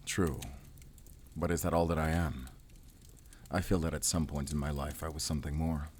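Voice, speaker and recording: A man playing a brooding, world-weary werewolf hunter in an audio roleplay, speaking in a measured, solemn, introspective way. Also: very deep voice, steady tone, darkish tone, a little hiss or hum. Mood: brooding, regretful. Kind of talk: cinematic audio roleplay acting.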